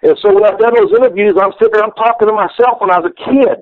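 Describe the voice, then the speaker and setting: A middle-aged Southern man spins loose, salty yarns, cursing freely and doubling back on his words. His voice sits a touch high for a man. It comes through a narrow, tinny phone line with no room sound at all. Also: fast talker, dry recording. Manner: rambling, profane, folksy, restarts a lot. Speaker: retired pro wrestler guest. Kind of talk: candid storytelling interview.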